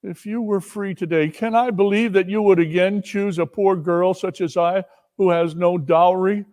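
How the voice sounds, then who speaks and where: Storybook fiancée, male reader: sad but resolute, earnest, measured pauses, lightly raised pitch. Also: steady tone, a little mellow, natural voice. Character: sorrowful, resolute young woman, jilted fiancée. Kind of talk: dramatic storybook reading.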